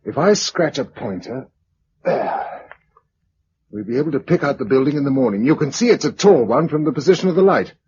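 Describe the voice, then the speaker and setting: An actor with an English accent playing a calm, practical, protective man surviving a catastrophe in a vintage radio drama, speaking in a measured way. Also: mid-range voice, lightly acted. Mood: steady, reassuring. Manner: measured, matter-of-fact. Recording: dull old radio recording with noise.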